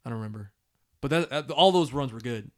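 A man's voice: medium pitch, animated, fast. A man co-hosting a casual podcast, reading listener emails aloud and joking, often laughing. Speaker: podcast co-host.

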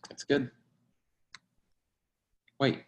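A man's voice: very slow, darkish tone. A man playing a nervous, courteous professional in a screenplay reading, guarded and hesitant in how he speaks. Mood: flustered. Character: Daniel, nervous, polite, reserved male professional. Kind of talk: dramatic screenplay table read.

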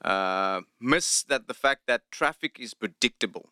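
South African man, Afrikaans first language, relaxed joking podcast guest, bright crisp dry voice. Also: medium pitch, measured pace, dry recording. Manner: laid-back, jokey storyteller. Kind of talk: casual chat.

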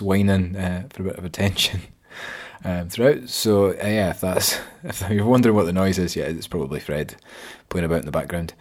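A man hosting a podcast, introducing the episode in a loose, chatty way with many fillers. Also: low-pitched. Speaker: podcast host. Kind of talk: casual podcast intro and interviewing.

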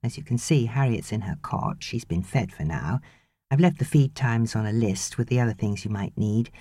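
Woman playing a brisk, fussing British mother. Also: a bass voice, expressive, dark tone, slightly rough, no echo, heavily acted. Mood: brisk, instructing. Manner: brisk, instructing. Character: brisk young mother, fussing, anxious, affectionate wife.

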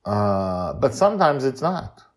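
A man's voice: on the low side, big pitch swings, unhurried. A man hosting a story podcast as author and narrator, theatrical and playful, leaning hard on his words with dramatic emphasis.

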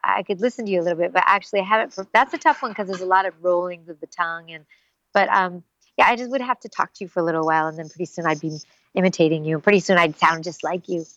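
A woman's voice: fairly low pitch, quick pace, fairly bright tone, no room sound, dull audio. A middle-aged woman voice actress, chatting animatedly in an interview and slipping into little character voices. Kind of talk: casual interview talk.

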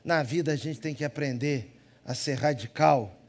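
A man from Minas Gerais preaching with a Mineiro accent and a voice pitched a touch high. He jokes with the congregation and swings from loud, emphatic lines to soft, quiet asides. Delivered as a live church sermon. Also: normal speed. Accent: Minas Gerais Brazilian Portuguese. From Minas Gerais, Brazil. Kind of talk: lively humorous sermon.

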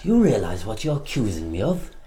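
Pushy young activist man in a radio play, pitch all over the place, bit of noise behind. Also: wildly swooping pitch, a little fast, mellow. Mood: defensive, pleading. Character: young male Berlin activist, pragmatic, insistent, defensive under pressure. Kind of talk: radio drama acting.

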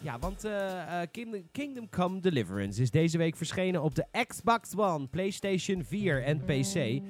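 Male podcast host, fairly high-pitched, informal and blunt, conversational, soft music in the background. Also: big pitch swings, moderate pace. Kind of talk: casual chat, steering the discussion.

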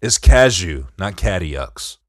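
A man with a Detroit accent and a very low voice, talking in loose, profane podcast banter. He keeps it laid-back and easy, leaning on "bro". The sound is dry and close. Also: sing-song, takes it slowly, no room sound. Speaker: podcast co-host. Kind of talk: casual chat.

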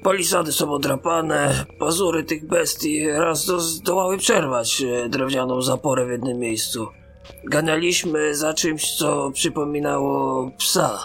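A man's voice: fairly high pitch, gravelly, fairly bright tone. An actor playing a battle-weary male ensign in a fantasy audio drama, reporting in a grave, respectful military manner.

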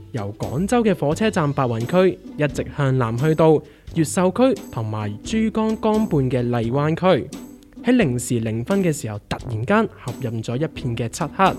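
A man's voice: on the high side, a little mellow. Guy acting wry hong kong cantonese man, mock newsreader, really swingy intonation, radio comedy. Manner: emphatic, wry newsreader-like delivery. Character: brawny, level-headed, wry man reporting news.

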